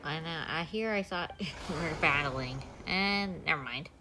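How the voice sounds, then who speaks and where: A woman's voice: low-pitched, a little slow, background noise. A woman live-streaming a game, chatting casually in short, offhand reactions. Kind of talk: casual live-stream chat while gaming. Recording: game music behind voice.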